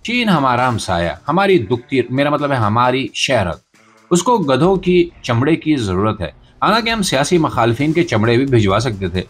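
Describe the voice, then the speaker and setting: A man with a Pakistani accent hosts a satirical news podcast with a lively, swinging pitch. His delivery is sarcastic and theatrical, full of rhetorical turns. Faint music plays under his voice. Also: husky. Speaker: podcast host. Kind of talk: satirical news commentary monologue.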